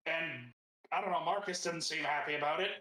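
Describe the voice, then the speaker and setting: A woman's voice: sits low, a little fast, bright, forceful tone. Echoey-sounding woman playing a tabletop game. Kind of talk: casual in-character tabletop roleplay. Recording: echoey, reverberant room.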